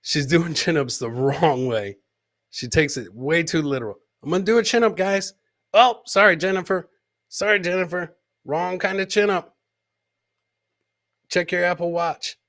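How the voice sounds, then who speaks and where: A man's voice: on the high side, moderate pace, pauses often, fairly bright tone. A man hosting a comedy podcast, giving joking commentary on clips, repeating his phrases for effect and mimicking the people he talks about. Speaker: host of a live comedy podcast.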